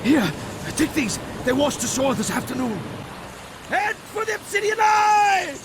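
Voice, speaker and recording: A man's voice: extremely high pitch, sing-song, a little slow, exaggerated voice. A man voicing a panicky islander in a cartoon game, melodramatic and alarmed. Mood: panicked. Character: panicky islander crying warnings of a pirate curse. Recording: loud noise behind.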